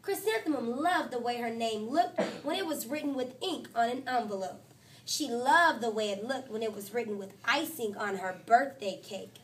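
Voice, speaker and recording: Expressive woman teacher reading aloud. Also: normal speed, far from the mic, a little hiss or hum. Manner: expressive storytelling, voices quotes higher and louder. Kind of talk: reading a picture book aloud to a class. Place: classroom.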